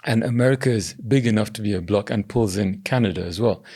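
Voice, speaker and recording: Man from Zambia, low and gravelly, measured, podcast guest. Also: darkish tone. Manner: measured, hedging with "I think" and "you know". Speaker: podcast guest, governance strategist. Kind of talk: thoughtful interview answers.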